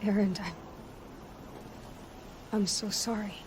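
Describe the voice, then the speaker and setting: An actress playing a resourceful, calm and focused young huntress in video game dialogue. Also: female voice, mid-range voice, slow, lightly acted. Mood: sympathetic. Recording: noisy outdoor game ambience behind.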